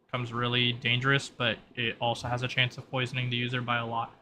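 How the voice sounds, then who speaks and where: A man's voice: mid-range voice, monotone, fast talker, background noise. A man running a tabletop roleplay as game master, narrating and explaining rules in a casual way.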